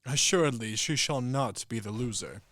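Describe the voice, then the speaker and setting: A man's voice: medium pitch, moderate pace, a bit bright, no echo, studio quality, lightly acted. A young man acting a grandiose cavalry officer in a radio play, with a pompous, theatrical manner. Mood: bold, grand.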